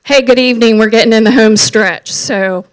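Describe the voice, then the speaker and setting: A woman from the US East Coast, speaking loudly and emphatically as a public commenter at a county board meeting. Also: on the high side, normal speed, no room sound, low-quality recording. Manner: loud, emphatic, forceful delivery.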